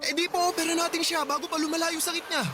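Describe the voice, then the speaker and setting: A man's voice: extremely high pitch, level tone, quick pace. A man playing a worried, insistent young husband and father in a Tagalog radio drama, anxious and urgent. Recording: music behind the voice.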